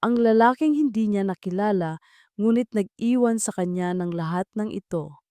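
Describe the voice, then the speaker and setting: Mellow Filipino woman narrating dramatic stories. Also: mid-range voice, moderate pace, clean tone, no echo. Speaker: story narrator. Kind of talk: dramatic scripted narration of a love story.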